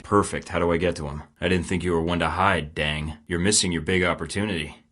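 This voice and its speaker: Cocky spy from a video game, deep low voice, dry sarcastic one-liners, stays cool. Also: male voice, steady tone, fast talker, fairly bright tone. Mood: wry. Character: wisecracking young male secret agent, cool under pressure. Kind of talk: game voice lines.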